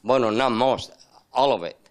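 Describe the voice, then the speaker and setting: A man's voice: medium pitch, moderate pace, clear, resonant tone. Older Spanish-accented man explaining his craft. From Spain, born in Andalusia, grew up in Madrid. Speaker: sculptor being interviewed. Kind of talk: explaining his work in an interview.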